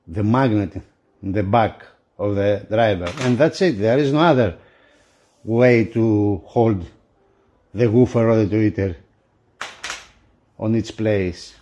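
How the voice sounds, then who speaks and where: Slow, mellow man reviewing. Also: lowish voice. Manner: halting, broken phrasing in non-native English. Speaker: product reviewer for a magazine. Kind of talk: unboxing commentary.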